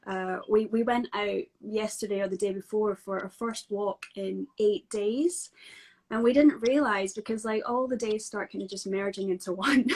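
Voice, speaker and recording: A woman's voice: mid-range voice, moderate pace, darkish tone, poor audio. A woman from Scotland with a Scottish accent, a guest talking casually from home, with many fillers and restarts. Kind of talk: casual video-call interview.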